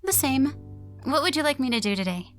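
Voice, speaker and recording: A woman voicing a kind, cheerful young female church volunteer who speaks brightly and politely. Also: high voice, very animated, brisk, faint music behind, pitched above the performer's own voice. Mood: friendly.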